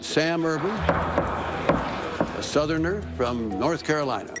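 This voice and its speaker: A man's voice: fairly high pitch, animated, slow. A man, a former news correspondent, recalling events in a matter-of-fact interview manner. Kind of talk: interview recollection. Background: loud music score.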